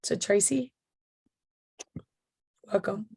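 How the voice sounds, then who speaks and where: A woman with a slightly hoarse voice, hosting an interview about photo books and talking in a halting way with many pauses and fillers. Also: mid-range voice, darkish tone. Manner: halting, many ums and restarts. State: hoarse, recovering voice. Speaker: interviewer, photo book consultant. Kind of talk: casual video interview.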